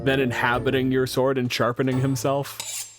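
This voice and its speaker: A man runs a tabletop game in a mid-pitched voice, telling the story casually and jokingly with some swearing. Clear music plays behind him. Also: monotone, normal speed. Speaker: game master and host.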